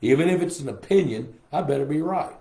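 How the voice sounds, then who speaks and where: An older man, a little hoarse, chatting casually with livestream viewers in a folksy way. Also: a little high, lively intonation, quick pace, dark tone. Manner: chatty, folksy, reads viewer comments aloud. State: hoarse from a lingering cough. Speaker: host. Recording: roomy echo on a live stream.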